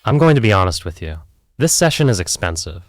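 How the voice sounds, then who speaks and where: A man acts out a self-doubting filmmaker on the therapy couch in an audio play. His voice sits low but rises and falls in lively sweeps. He is candid and wry, rambling and hedging as he goes, in a dry, close recording. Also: quick pace, dry recording, faint music behind. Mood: frank, businesslike. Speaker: therapy client. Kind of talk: conversational audio play acting.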